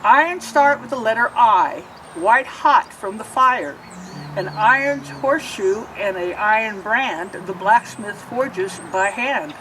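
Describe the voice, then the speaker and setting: A woman's voice: mid-range voice, lively intonation, slow, sharp tone, recorded from a distance, slight background noise, rough recording. Woman reading a picture book from afar. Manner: sing-song rhyming reading with asides explaining pictures. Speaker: storybook reader.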